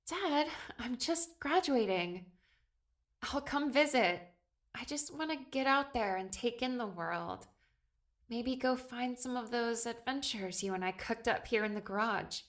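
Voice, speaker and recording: Woman acting a high-voiced, tender teenage daughter. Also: lively intonation, a little slow. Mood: earnest. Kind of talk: audiobook character dialogue. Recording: music under the voice.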